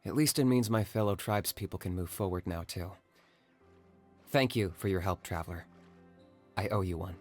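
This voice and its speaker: A reserved young hunter from an anime game. The voice is fairly low, calm and understated, with a principled, matter-of-fact way of speaking. Faint music plays behind. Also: male voice, moderate pace, pauses often. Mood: sincere. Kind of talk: anime game character acting.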